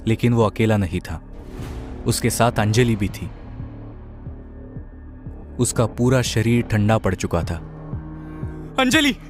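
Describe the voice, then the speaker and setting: A young man playing a groom who earnestly recounts a painful past, heavy-hearted in tone. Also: lowish voice. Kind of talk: first-person story narration.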